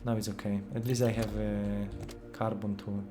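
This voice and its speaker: A man with a Polish accent, a native Polish speaker, casually commenting on a game he is playing while thinking aloud with many fillers and pauses. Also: on the low side, little pitch movement, mellow, a little hiss or hum. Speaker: game developer streaming gameplay.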